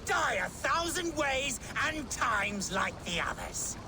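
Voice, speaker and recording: A male voice as a slow, deliberate game monk, full of self-righteous piety over buried fury. Clear ambience sits behind him. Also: very high-pitched, big pitch swings, noisy background, heavily acted. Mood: raging. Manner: pious, self-satisfied, slow. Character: sanctimonious convert monk with barely buried murderous rage. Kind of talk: video game cutscene acting.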